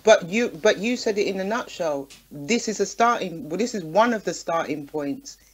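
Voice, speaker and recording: A woman's voice: on the low side, lively intonation, normal speed, breathy edge. A woman speaking in a passionate, conversational radio discussion, emphatic and punctuating her points with rhetorical questions. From Caribbean community in the UK. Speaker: radio talk show guest.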